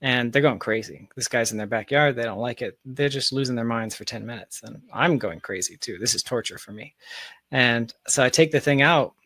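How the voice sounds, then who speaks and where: A man chatting casually as a podcast guest, telling anecdotes in an animated manner over a close mic. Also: medium pitch, a little fast, no echo.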